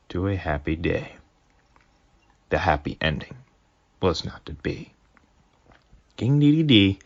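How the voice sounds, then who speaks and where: A man with a low, husky voice narrates a story in a dry, mock-solemn tone. The recording is a bit dull and muffled, like a cheap home mic. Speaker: fanfic reader.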